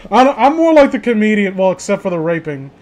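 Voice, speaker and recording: A man's voice: high-pitched, very animated, fast, darkish tone. A man chatting casually as a host, rambling, blunt and opinionated, with frequent swearing. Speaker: host of a casual group chat.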